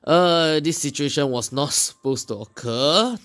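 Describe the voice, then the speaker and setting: A man giving casual, unscripted commentary on a mobile game, speaking haltingly and often pausing mid-sentence. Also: fairly high pitch. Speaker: gameplay video creator. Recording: music behind the voice. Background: loud game music.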